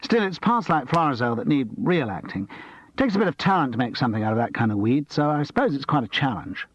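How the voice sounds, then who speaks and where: A man voicing a vain, theatrical young male actor who talks with arrogant self-importance, husky and a bit muffled. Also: medium pitch, lively intonation, quick pace, no room sound, voice pushed up. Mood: condescending.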